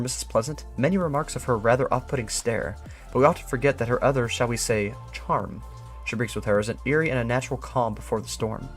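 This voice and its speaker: A man voicing a brooding, suspicious employee, reading an eerie memo in a measured, musing and ominous way. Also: mid-range voice, natural voice. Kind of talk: dramatic reading of a written note.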